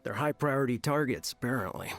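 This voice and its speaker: A man voicing a weary, gossiping army guard in a video game, with an idle, low-energy manner. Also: animated, fairly quick, vocal fry, a bit bright. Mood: weary, musing.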